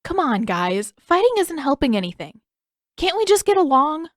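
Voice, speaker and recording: A woman voicing a gentle, upbeat young boy, an earnest and friendly peacemaker in an audiobook story. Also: sits high, very animated, normal speed, dry recording, studio quality, voice pushed up. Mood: pleading.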